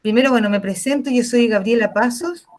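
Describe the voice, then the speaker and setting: A woman's voice: a little high, darkish tone, faint music behind. A woman with a Chilean accent moderating an online panel, talking casually with many fillers and restarts. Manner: casual, filler-heavy, fast with pauses. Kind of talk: meeting introduction.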